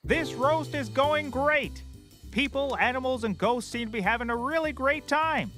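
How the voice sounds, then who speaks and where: A man's voice: very high-pitched, moderate pace, sharp tone, faint music behind. A man playing a folksy, self-pleased, dubious private eye in a scripted audio comedy, jaunty and exaggerated. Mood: pleased, bossy. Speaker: lead character.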